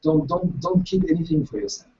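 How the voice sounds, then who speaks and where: A man with a big voice, teaching a ritual step by step in a lively way and switching between French and English as he explains. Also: a little high, brisk, muffled recording. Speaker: meditation teacher.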